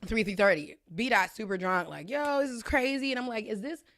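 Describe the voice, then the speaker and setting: A woman in her thirties co-hosting a podcast, telling a story in casual chat with a loud voice, acting out quotes and laughing often. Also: on the high side, a little fast. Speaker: podcast co-host.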